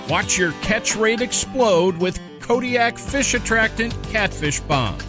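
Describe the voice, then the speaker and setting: Male promo announcer, high-pitched and peppy, over a music bed. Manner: upbeat, polished salesy delivery. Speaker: ad and outro announcer. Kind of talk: scripted commercial read.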